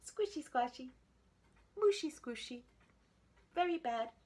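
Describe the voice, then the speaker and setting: Storybook piglet girl, played by a woman: high-pitched, swooping, sing-song, stubbornly cheeky. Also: animated, takes it slowly, darkish tone, breathy edge, exaggerated voice. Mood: defiant. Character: plump little girl piglet, cheeky and defiant.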